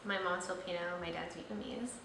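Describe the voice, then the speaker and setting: A woman's voice: fairly low pitch, steady tone, quick pace, gravelly, a bit bright. A young woman hosting her vlog, chatting casually and rambling with lots of fillers. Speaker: vlogger host. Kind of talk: casual chat. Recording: echoey room, slightly distant mic, faint noise.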